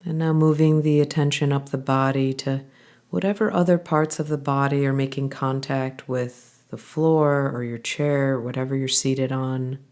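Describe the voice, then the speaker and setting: A woman guiding a body scan meditation, giving calm, gentle instructions in an unhurried way with pauses. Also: a bass voice. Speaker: meditation guide.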